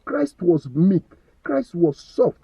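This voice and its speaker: A man's voice: high-pitched, very animated, takes it slowly, gravelly, mellow, husky, no room sound, dull audio. A Nigerian man giving impassioned opinion commentary, firing rhetorical questions and stumbling over repeated words.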